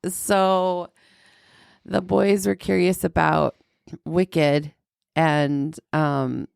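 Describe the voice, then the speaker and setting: Creaky, congested woman, unhurried. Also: little pitch movement, a little mellow, no room sound, studio quality. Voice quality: deepened by a sinus infection, with vocal fry. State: congested from a sinus infection. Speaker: podcast host. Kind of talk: casual chat.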